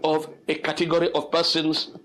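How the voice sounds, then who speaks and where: An older man hosting an informal storytelling session, reflecting aloud in an expressive, dramatic, exclamatory way. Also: a little high, normal speed, a little hiss or hum. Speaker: storyteller host.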